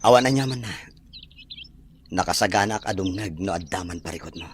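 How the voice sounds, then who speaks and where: A man's voice: deep voice, brisk, a little hiss or hum, lightly acted. A man playing a kind, caring rich farm owner in an Ilocano audio drama, with faint birdsong behind him. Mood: caring, reassuring.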